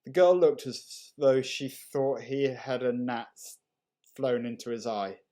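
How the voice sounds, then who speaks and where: A man reading a short story aloud in an unhurried, measured and expressive storytelling manner. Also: medium pitch. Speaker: story reader. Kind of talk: dramatic short story narration.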